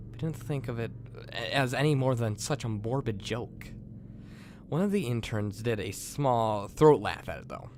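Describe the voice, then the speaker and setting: A man's voice: mid-range voice, slight background noise. A man hosting and narrating a scripted creepypasta horror story. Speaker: host and narrator.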